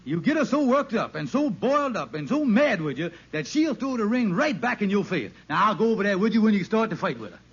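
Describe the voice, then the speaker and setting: A man's voice: high-pitched, sing-song, fairly quick, few pauses, breathy edge, exaggerated voice. An actor playing a scheming, bossy buddy in an old radio sitcom, hemming and wheedling as he mangles big words. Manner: hemming, wheedling, uses malapropisms. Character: scheming, bossy lodge-brother friend, a small-time schemer. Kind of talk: 1940s radio sitcom acting. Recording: muffled, noisy old radio recording.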